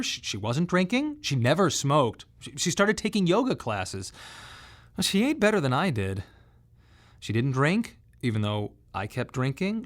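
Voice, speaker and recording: A grieving young father in a radio play. His voice sits somewhat high for a man, and he speaks haltingly, questioning himself with raw, anguished feeling. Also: a bit bright, heavily acted. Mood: remorseful. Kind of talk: radio drama monologue.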